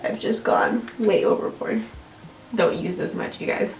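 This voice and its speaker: Young woman, American accent, nasal and congested, chatty tutorial talk, medium pitch, echoey room, distant mic. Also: measured pace, gravelly. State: congested from allergies. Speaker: beauty vlogger. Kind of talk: casual makeup tutorial talk-through. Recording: roomy echo, distant mic, faint music. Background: music.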